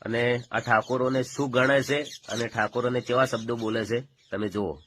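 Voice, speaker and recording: A man's voice: medium pitch, fairly even intonation, moderate pace, a bit bright. Disapproving Gujarati man in a noisy recording. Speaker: video presenter. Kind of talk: informal commentary on a call recording.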